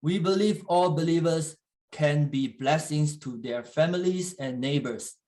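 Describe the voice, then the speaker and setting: A man delivers a sermon in English, which is not his first language, in a slightly raised pitch. He speaks haltingly, pausing and restarting as he searches for words. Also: warm tone. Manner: halting, with frequent fillers and restarts. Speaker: guest church leader. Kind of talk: sermon in English with live interpretation.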